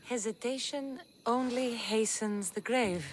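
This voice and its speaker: A woman's voice: a little high, slow pace, slight background noise, rough recording. A woman acting a tough, guarded young Greek warrior in a video game, blunt and defiant in manner. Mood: resolute.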